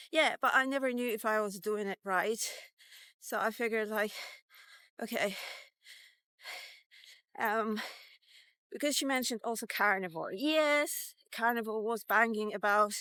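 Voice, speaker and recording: A woman's voice: mid-range voice, a bit bright, smooth, no echo. A woman in her fifties talking candidly in a rambling vlog while out walking, with many fillers. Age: 50s. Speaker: vlogger. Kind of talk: casual walk-and-talk vlog. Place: outdoors, walking.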